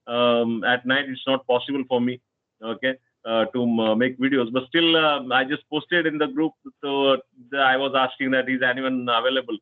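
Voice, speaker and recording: A man's voice: medium pitch, dry recording. A man hosting a casual English practice chat, talking hesitantly with many fillers and tag questions. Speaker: channel host, conversation partner. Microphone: online call. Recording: tinny dry phone-like call line.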